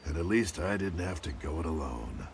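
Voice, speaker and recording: An actor playing a middle-aged American army captain, a grizzled veteran with a gravelly voice, terse, blunt and hard-boiled in a dramatic video game. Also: male voice, rumbling low, animated, moderate pace, slight background noise, exaggerated voice. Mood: grim recounting. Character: grizzled, aging war veteran captain, blunt and defiant Nazi-killer. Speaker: soldier narrating his war story.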